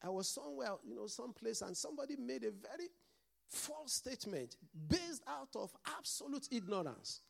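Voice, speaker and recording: A man's voice: extremely high pitch, big pitch swings, normal speed, gravelly. A man with a mixed Nigerian and Scottish accent, preaching a sermon as pastor and calling out to the congregation for responses.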